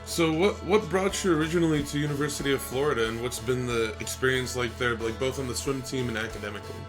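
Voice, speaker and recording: A man's voice: mid-range voice, fast, music in the background, low-quality recording. A young man hosting a podcast and asking casual interview questions.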